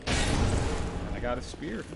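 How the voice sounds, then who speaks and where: Sweary man chatting over very loud noise. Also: medium pitch, very animated, slow pace, creaky. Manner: profane, jokey. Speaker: video game streamer playing and commenting. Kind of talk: casual gameplay chat. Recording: very noisy, constant loud background hum.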